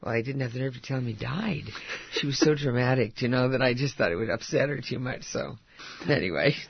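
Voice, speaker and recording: An older actress with a husky voice, chatting and telling stories in an interview with frequent laughs. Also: female voice, extremely low pitch, brisk, no echo, muffled recording. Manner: chatty, laughs often, warm storytelling. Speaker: actress guest. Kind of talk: casual interview chat.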